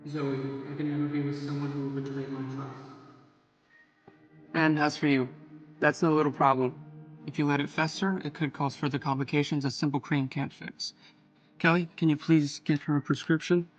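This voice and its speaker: An actor playing a stern, distrustful former lover in an audio drama, cold and cutting in manner. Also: male voice, on the high side, flat delivery, normal speed, lo-fi sound, natural voice. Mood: curt.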